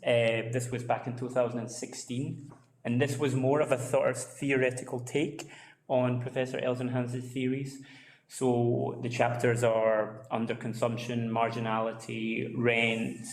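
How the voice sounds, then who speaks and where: Man speaking flatly in an echoey hall. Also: mid-range voice, moderate pace. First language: English. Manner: hesitant, many fillers. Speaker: book author, speaker at launch. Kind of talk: presentation talk.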